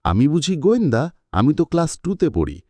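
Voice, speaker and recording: A man's voice: a little high, very animated, a little fast, a little mellow, dry recording, pitched above the performer's own voice. A man voicing an earnest, self-serious little schoolboy of about seven in an audio story. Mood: challenging.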